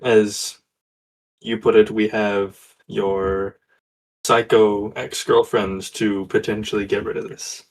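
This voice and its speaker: A man's voice: on the low side, level tone, takes it slowly, poor audio. A man speaking dryly and casually in character as a tabletop game player.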